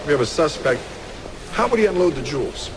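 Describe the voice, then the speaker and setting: A man's voice: fairly high pitch, very animated, fairly quick, noisy background. An actor playing a shrewd, wry police detective in a crime audio drama, dry and understated as he probes. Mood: dry needling.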